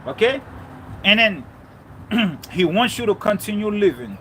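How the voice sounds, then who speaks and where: Fervent preachy man, high bright voice. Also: sing-song, a little slow, a little hiss or hum, rough recording. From Haiti. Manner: fervent, repeats lines for emphasis. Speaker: spiritual speaker. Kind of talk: preachy motivational talk.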